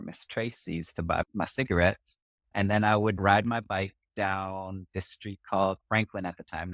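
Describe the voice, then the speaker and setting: A man's voice: low-pitched, dry recording. A man from Singapore, a community organizer, telling his story in an interview in a thoughtful way, often checking in with "right?". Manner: thoughtful, with frequent "right?" asides. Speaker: racial justice organizer.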